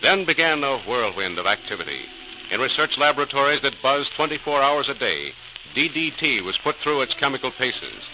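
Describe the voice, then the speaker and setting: A man's voice: mid-range voice, very animated, moderate pace. A man narrating a scripted 1940s radio programme as announcer, in a formal, dramatic, declamatory style. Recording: noisy old radio transcription recording.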